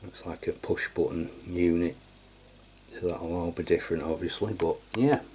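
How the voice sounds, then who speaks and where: A man's voice: low voice, steady tone, moderate pace, warm tone, slight background noise. A middle-aged man giving a casual, matter-of-fact hands-on product review. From England. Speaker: radio review channel host.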